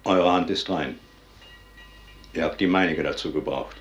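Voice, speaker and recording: An actor playing a cold, unrepentant soldier and assassin, firm and hard, in a 1950s German radio drama. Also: male voice, extremely low pitch, a little slow, soft background music. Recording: dull vintage 1950s radio recording.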